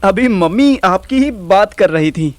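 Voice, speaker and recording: A man's voice: high-pitched, expressive, a little slow, no echo. A man playing a curious, cheeky young son in an audio drama, slangy and easily flustered. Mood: nervous. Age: young adult. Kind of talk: Hindi audio drama acting.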